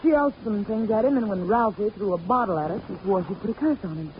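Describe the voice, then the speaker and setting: A woman's voice: medium pitch, quick pace, natural voice. Casting: a woman as a cool, sarcastic young wife, hard-edged, old muffled radio sound with light hiss. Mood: sardonic. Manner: sarcastic, tough. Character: sharp-tongued young wife on a yacht, cynical. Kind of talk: 1940s radio acting. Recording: dull old radio recording with faint hiss.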